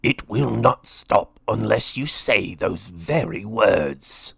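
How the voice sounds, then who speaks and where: A gigantic, kindly dragon from a children's storybook, acted out by a male reader. The voice is low, with a gravelly creak. It speaks slowly and solemnly, as a gentle giant would. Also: animated, no echo, studio quality, heavily acted. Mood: grateful, kindly. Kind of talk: children's storybook read-aloud.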